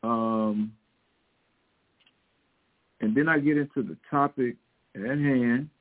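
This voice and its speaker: Husky-voiced man on a tinny line. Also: medium pitch, takes it slowly. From Missouri, American Midwest. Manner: conversational, emphatic, keeps addressing listeners directly. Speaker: show host and priest. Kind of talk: casual news commentary with scripture talk. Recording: tinny phone-like sound.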